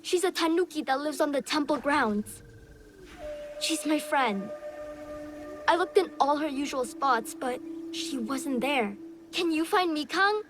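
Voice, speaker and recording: A woman voicing an earnest, excitable young boy in a video game, with a pleading way of talking. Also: high-pitched, a little slow, long pauses, background noise. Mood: worried.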